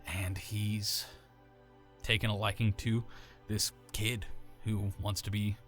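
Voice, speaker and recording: Very deep-voiced man with natural gravel. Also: level tone, slow pace, fairly bright tone, faint music behind. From American South. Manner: casual, rambling, many fillers and restarts. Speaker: voice actor. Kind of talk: explaining how he builds a character voice.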